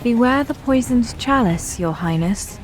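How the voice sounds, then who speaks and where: A woman playing a solemn young noblewoman in an audio drama, speaking in a formal, measured, gravely reproachful way. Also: fairly high pitch, expressive, a little hiss or hum. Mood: warning.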